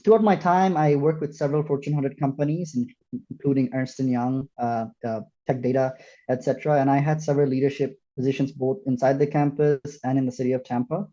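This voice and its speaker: Dark-voiced young man from Bangladesh. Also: medium pitch, steady tone, brisk, muffled recording. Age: young adult. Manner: earnest, with frequent "uh" and "um" fillers. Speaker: guest, student CEO. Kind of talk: self-introduction in an interview.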